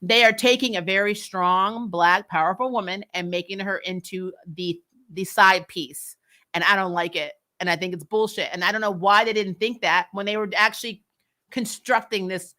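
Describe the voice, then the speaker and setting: A woman's voice: medium pitch, bright, forceful tone. A woman hosting a TV recap, chatting casually and bluntly with strong, exasperated, sweary opinions. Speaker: host of a TV recap show. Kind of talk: casual opinionated fan commentary, replying to live comments.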